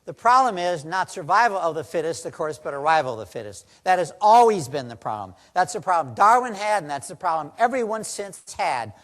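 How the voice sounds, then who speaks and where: A man's voice: sits high, big pitch swings, brisk, hardly any pauses. A man giving a recorded lecture in a preachy way, full of rhetorical questions. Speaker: lecturer in a played video.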